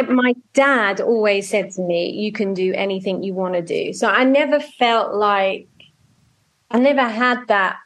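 A woman in her usual mid-range voice tells her story in a loose, chatty way, restarting sentences as she goes. She speaks into a video call, so it sounds like a remote interview. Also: mid-range voice. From Norwich, England. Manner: chatty, self-correcting, animated storytelling. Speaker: guest, music media professional.

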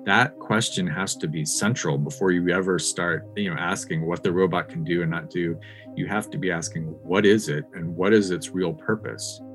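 A man's voice: low-pitched, normal speed, fairly bright tone, soft background music. A man speaking as an interviewed researcher in a thoughtful discussion, reflective and given to posing rhetorical questions. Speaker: interviewed researcher on ethics of technology.

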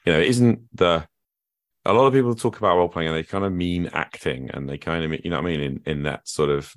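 A man's voice: deep voice, quick pace. A man hosting a podcast interview, thinking aloud at length in a reflective way. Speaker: podcast host. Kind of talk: conversational interview.

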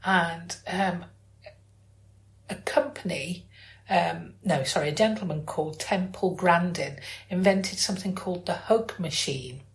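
A woman's voice: on the low side, unhurried, creaky. Woman chatting casually in an echoey room. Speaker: podcast host. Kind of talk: casual chat.

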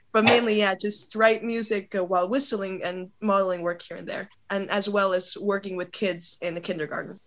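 A woman singer chats as a guest in an easygoing way. She is resting a strained voice. Her voice sits at a middle pitch. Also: fairly even intonation, moderate pace, heavy background noise.

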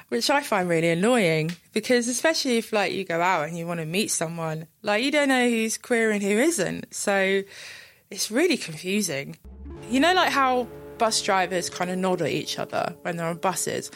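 A woman's voice: medium pitch, expressive, normal speed, soft background music. A young woman chatting casually as an interviewee, giggly and full of fillers. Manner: chatty, giggly, lots of likes. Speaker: club night co-founder, interviewee. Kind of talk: casual chat.

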